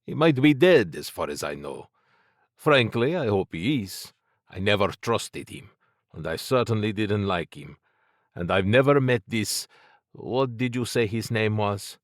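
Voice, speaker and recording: Cagey, breezy gentleman of means, low-voiced, read by a man. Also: lively intonation, unhurried, no room sound, clean studio sound. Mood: dismissive. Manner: light, dismissive, evasive. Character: cagey, cheerful wealthy expatriate man, cigar smoker. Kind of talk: audiobook character dialogue.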